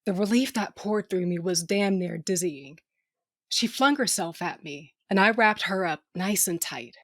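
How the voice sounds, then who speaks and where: An actress playing a tough, wry spacefaring crew leader, narrating an audio drama in a brash, casual way. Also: female voice, lowish voice, unhurried, no echo, clean studio sound. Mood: gritty narration. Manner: brash, casual, take-charge.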